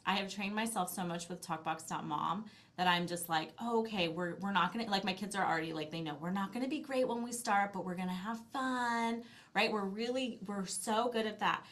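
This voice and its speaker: A woman's voice: medium pitch, normal speed, a bit bright. A middle-aged woman talking casually as an interview guest, telling stories in a chatty, self-deprecating way. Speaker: podcast guest, language-learning business owner. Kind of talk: casual interview conversation, anecdotal.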